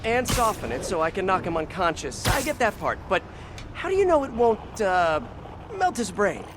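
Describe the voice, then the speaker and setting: A man's voice: high-pitched, sing-song, moderate pace, noisy background. An American man playing an eager, wisecracking, good-hearted young superhero in a video game, boyish and rambling in his delivery. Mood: casual, quipping. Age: young adult. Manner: boyish, joking, rambling to himself. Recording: voice under loud game music.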